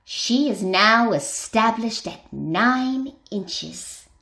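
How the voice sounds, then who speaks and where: A woman's voice: a little high, animated, extremely slow, vocal fry, sharp tone, full character voice. A woman describing scenes in a scripted, present-tense radio play narration.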